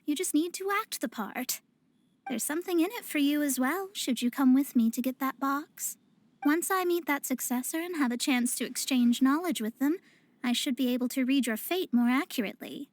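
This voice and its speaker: A woman's voice: high-pitched, moderate pace, lightly acted. A woman voicing a proud young woman astrologer in a video game, speaking in a pompous, formal, precise way. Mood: persuasive, grand. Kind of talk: scripted video game voice acting. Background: game music.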